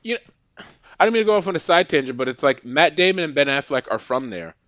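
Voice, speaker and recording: A man's voice: a little high, sing-song, fast talker, fairly bright tone, no echo, muffled recording. A man from Massachusetts, a podcast guest, rambling with many restarts in casual chat.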